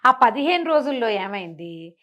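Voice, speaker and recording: A woman's voice: on the high side, sing-song, moderate pace, a bit bright. A woman telling folk tales conversationally, explaining as she goes and posing rhetorical questions. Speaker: storyteller.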